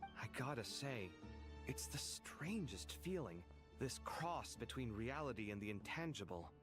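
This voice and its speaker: A man's voice: medium pitch, very animated, unhurried. An actor playing a pensive, easygoing young man in a video game, talking casually and reflectively. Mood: wistful wonder. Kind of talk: video game voice acting, conversational. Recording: game music under the voice.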